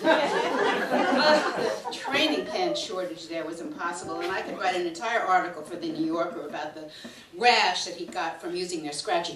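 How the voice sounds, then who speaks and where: A sixty-five-year-old woman, delivering a theatrical comic monologue on stage in a dramatic, exasperated, halting manner. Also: on the low side, a bit bright. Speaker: storyteller performing a comic monologue. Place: small indoor stage before an audience. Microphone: microphone on a stand. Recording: roomy live stage, distant, audience laughing. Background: audience laughter.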